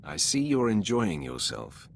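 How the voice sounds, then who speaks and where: An actor playing a commanding, cold Sith master in a video game, with a terse, imperious and self-assured manner. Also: male voice, fairly low pitch, big pitch swings, unhurried, creaky, slight background noise, full character voice. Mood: smug, suggestive. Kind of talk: dramatic video game voice acting.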